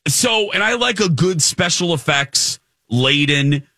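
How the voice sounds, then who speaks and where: A man with a bright, forward voice chats casually as a radio host. His delivery swings widely in pitch with campy emphasis, picked up close in a dry studio. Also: animated, slow, no room sound. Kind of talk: casual entertainment chat. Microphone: close studio microphone.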